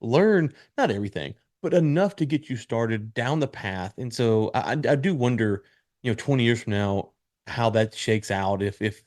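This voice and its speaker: A man's voice: mid-range voice, a little mellow, no echo, clean studio sound. A man in his late thirties hosting a podcast interview, chatting casually and rambling with restarts. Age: 30s. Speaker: podcast host.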